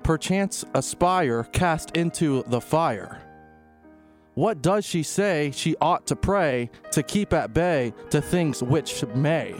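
A man on a comedy radio show, reading aloud haltingly and stumbling over words. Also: on the high side, lively intonation, faint music behind. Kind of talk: halting read-aloud and banter.

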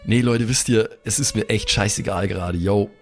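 A man's voice: on the low side, normal speed, faint music behind, lightly acted. A man playing a weary, irritable young slacker musician in an improvised roleplay audio drama, drawling street slang mixed with English. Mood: weary, fed up.

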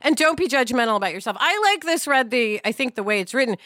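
A woman hosting a casual, reflective discussion in an expressive way, with animated asides. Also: fairly high pitch, fast talker, dry recording, studio quality. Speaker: podcast host.

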